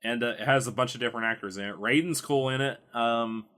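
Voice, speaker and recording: A man's voice: mid-range voice, fast talker, sharp tone. A man hosting a casual podcast chat, often chuckling as he talks. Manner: chuckles often while talking. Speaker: podcast host.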